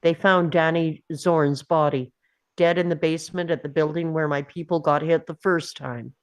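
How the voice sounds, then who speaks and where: A woman's voice: deep voice, steady tone, normal speed, lightly acted. A woman at a script table read playing a composed female intelligence officer. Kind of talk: dramatic script reading.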